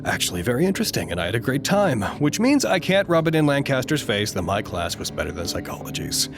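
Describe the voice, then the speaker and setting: An actor playing a tired, self-conscious research doctor in an audio drama, rambling and stammering when flustered. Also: male voice, medium pitch, very animated, fast talker, over music. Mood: chatty, eager. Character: weary, petty but good-natured male research doctor. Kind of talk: audio drama acting, log monologue.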